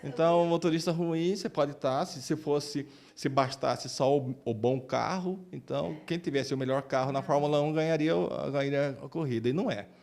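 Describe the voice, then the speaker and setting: A man's voice: a little high, brisk, gravelly, hardly any pauses, a little mellow. A man with a Brazilian Portuguese accent answering interview questions as a doctor, often repeating words as he searches for phrasing. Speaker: oncologist and researcher being interviewed. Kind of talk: interview answers, explaining his work.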